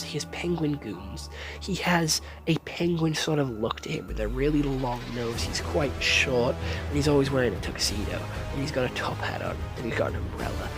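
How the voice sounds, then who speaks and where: A man giving a casual, rambling series review. He swears often, gets excited, and stumbles over words at times when he talks fast. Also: on the high side.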